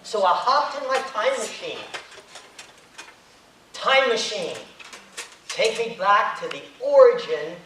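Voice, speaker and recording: A man's voice: high voice, a little hiss or hum. A man telling a tall tale as a contest speaker, acting out the action with big swings in his voice. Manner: animated storyteller, acting out the action. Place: hotel conference room. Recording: echoey room, recorded from far off.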